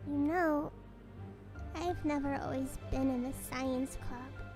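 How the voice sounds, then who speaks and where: Sweet high-pitched anime schoolgirl, slow and soft. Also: fairly even intonation, over music. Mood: hesitant, subdued. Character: sweet, earnest schoolgirl science prodigy in visual novel. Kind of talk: anime-style visual novel voice acting.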